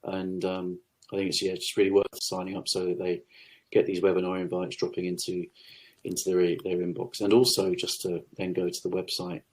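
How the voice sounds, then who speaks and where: A man hosting a podcast interview, agreeing keenly and thinking out loud. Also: low-pitched, completely flat delivery, a little fast, low-quality recording. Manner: enthusiastic, agreeable, thinks aloud. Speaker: podcast host. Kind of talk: casual interview chat.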